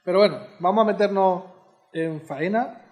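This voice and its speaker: A man's voice: high-pitched, normal speed, reverberant, dull audio. A man giving an informal lecture as an educator, chatty and teacherly, often asking his audience questions. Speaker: educator giving a heritage talk.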